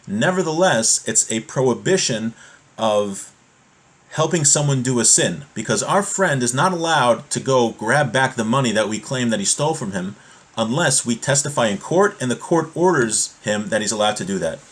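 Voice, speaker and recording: A man giving an informal religious lesson, explaining step by step in a halting way and rephrasing his points as he goes. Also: medium pitch. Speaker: religious teacher giving a class.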